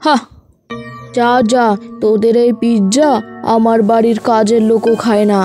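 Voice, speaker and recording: A haughty little rich-boy bird from a cartoon, acted by a woman, bossy and scornful. The voice sits fairly high, with music playing clearly underneath. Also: level tone, unhurried, natural voice. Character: snobbish rich young male schoolbird, a bully. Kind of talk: animated cartoon voice acting.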